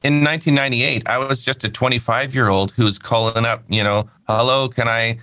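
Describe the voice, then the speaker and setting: Fifty-something guy, bright, kinda rambly, laughs a lot, dry close sound. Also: mid-range voice, steady tone, a little fast, clear, resonant tone, no echo. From Canada. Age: 50s. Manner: candid, rambling, laughs easily. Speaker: guest, company founder. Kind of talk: casual interview chat.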